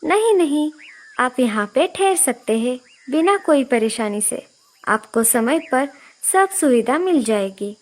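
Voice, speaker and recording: A woman's voice: high voice, lively intonation, slow, exaggerated voice. A woman voicing a caring, eager little female bird who reassures others in a children's cartoon story. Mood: reassuring. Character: helpful, caring little female sparrow, loyal sister.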